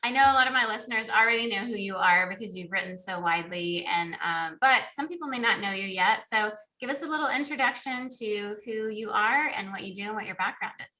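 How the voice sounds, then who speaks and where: A woman's voice: mid-range voice, brisk, few pauses, bright, forceful tone, low-quality recording. A woman hosting a conversational podcast interview in an effusive, enthusiastic manner. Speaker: podcast host. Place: remote video call.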